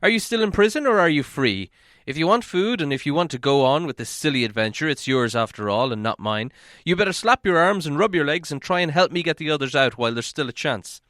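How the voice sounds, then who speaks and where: A man's voice: a little high, animated, a little fast, a bit bright, no room sound, studio quality, pitched above the performer's own voice. A man voicing a cross, impatient hobbit in a storybook reading, scolding with exasperated emphasis.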